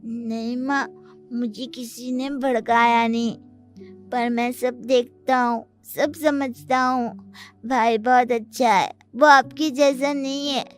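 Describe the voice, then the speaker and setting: A woman's voice: on the high side, steady tone, slow, faint music behind. A woman voicing an innocent, devoted little boy of about seven in a cartoon moral story, earnest and childlike. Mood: hurt, firm. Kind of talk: animated moral story dialogue.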